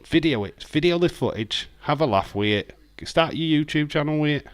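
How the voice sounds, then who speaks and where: A man with a broad Yorkshire accent chatting casually on a livestream, reading out viewer comments, swearing and filling pauses with lots of "uh". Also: fairly high pitch, expressive, no room sound. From Yorkshire, England. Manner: chatty, reads viewer comments aloud, swears, many ums. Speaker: livestream host. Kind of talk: casual live watch-along chat.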